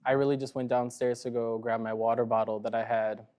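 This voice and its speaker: A young man in his early twenties speaks as a talk show guest. His talk is casual and conversational, peppered with "like," at a middle pitch. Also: little pitch movement, brisk, a little mellow. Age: early 20s. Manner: casual, frequent "like" as filler.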